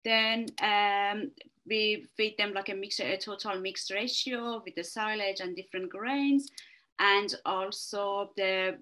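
A woman's voice: mid-range voice, fairly even intonation, sharp tone. Woman, Iranian accent, academic webinar talk, video call mic, hesitant with lots of ums. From Iran. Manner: hesitant, many fillers, halting restarts. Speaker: research fellow presenting a webinar talk. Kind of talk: online academic presentation.

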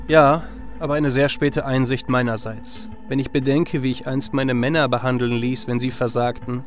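A man playing a noble, repentant warrior hero in a fantasy audio drama, speaking earnestly and with measured solemnity. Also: medium pitch, level tone, faint music behind, lightly acted. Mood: solemn, reflective.

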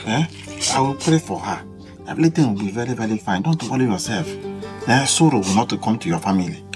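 An actor with a Nigerian accent playing a doting, soft-hearted boyfriend in a film drama, coaxing and repeating himself. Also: male voice, fairly low pitch, animated, fairly quick, music in the background, lightly acted. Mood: reassuring. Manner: coaxing, repetitive, punctuated with "eh". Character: doting, soft-hearted boyfriend soothing his crying woman.

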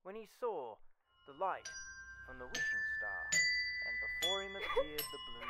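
A man's voice: fairly high pitch, very animated, measured pace. A man narrating dramatically in the style of an old-time radio serial. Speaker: story narrator. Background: loud mallet music.

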